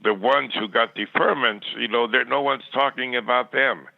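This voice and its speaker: A man's voice: medium pitch, moderate pace, gravelly, dry recording. An older man reminiscing as an interview guest, plainspoken and rambling, often stammering and repeating words, over a thin phone line. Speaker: podcast guest. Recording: tinny, band-limited phone line.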